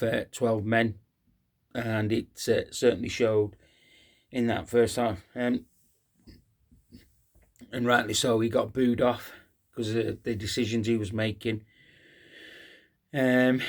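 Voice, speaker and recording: Man, hoarse, gravelly, halting fan chat, plenty of uhs. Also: medium pitch. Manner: halting, many ums and uhs. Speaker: fan channel host. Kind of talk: casual post-match talk to camera.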